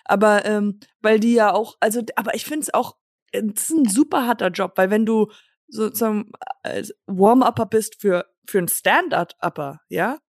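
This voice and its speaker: A young woman from Germany co-hosts a casual podcast, talking in loose, rambling bursts and slipping into English now and then. Her voice sits in a middle pitch and is recorded close and dry, with no room sound. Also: moderate pace, dry recording. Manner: chatty, rambling, giggly, drops English phrases. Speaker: podcast co-host. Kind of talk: casual chat.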